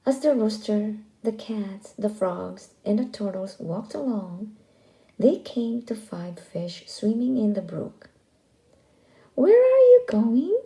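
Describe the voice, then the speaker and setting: A woman reading a children's story aloud as scripted narration, expressive and deliberate in delivery. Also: medium pitch, low-quality recording.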